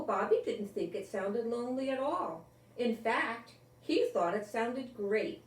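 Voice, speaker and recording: Older woman reading aloud, slow, in echoey room. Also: medium pitch. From Rhode Island, United States. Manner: deliberate, expressive, with repeated emphasis on key words. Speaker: grandmother storyteller. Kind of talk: children's book read-aloud. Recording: roomy, echoey, distant from the mic.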